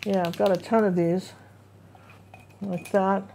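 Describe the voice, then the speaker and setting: A woman's voice: mid-range voice, flat delivery, measured pace, gravelly, mellow, slight background noise. A woman teaching a live painting lesson, chatting loosely and trailing off as she works. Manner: chatty, rambling, self-interrupting. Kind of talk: casual live painting demo.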